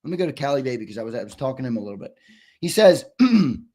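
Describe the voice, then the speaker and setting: A man hosting a live sports talk stream, reading viewer comments and arguing back, emphatic and repetitive, his voice going hoarse. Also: medium pitch, big pitch swings, racing, dark tone. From United States. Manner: argumentative, emphatic, repeats himself for stress.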